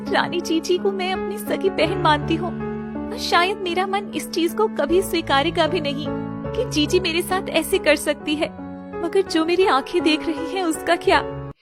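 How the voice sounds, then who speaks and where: Woman playing betrayed young wife, heartbroken, reproachful, dramatic audio story, high voice, music behind. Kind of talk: Hindi audio story acting.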